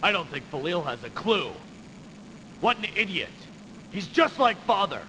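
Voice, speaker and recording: A proud, disgruntled young nobleman with scheming ambition in an old video game. His voice sits fairly high and swings widely with indignation. It rings in long reverb. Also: big pitch swings, normal speed, pushed and bright, full character voice. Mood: scornful, irritated. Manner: haughty, indignant, exclamatory. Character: disgruntled young idealistic nobleman, haughty, hot-tempered, scheming for power. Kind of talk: theatrical video game voice acting. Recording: noisy, reverberant early CD game audio.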